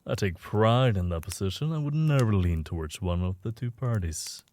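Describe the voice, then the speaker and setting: A man's voice: fairly low pitch, expressive, normal speed, a little mellow, no echo, lightly acted. A man playing a courteous, self-assured underworld informant in an audio roleplay, talking in a polite, formal way. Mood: suave, composed.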